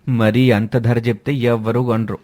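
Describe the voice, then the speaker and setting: A mid-pitched village milk seller, acted by a man in a storybook moral tale. He is greedy and defensive, forever complaining. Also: level tone, normal speed, darkish tone, close to an everyday voice. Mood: persuaded.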